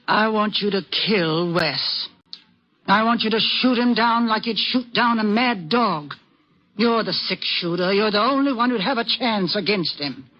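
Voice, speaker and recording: A woman's voice: medium pitch, animated, a little slow. An actress playing a stern, anguished older frontier woman with a rural American accent, grave and plain-spoken, in an old-time western radio drama. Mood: bitter, resolute. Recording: dull vintage radio recording.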